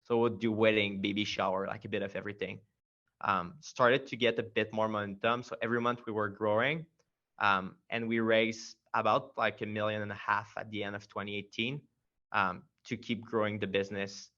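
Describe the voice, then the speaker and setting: A man's voice: lowish voice, level tone, moderate pace, pushed and bright, muffled recording. A man from Quebec with a strong Quebec French accent, a startup founder telling his story in a casual interview, rambling with many fillers and restarts.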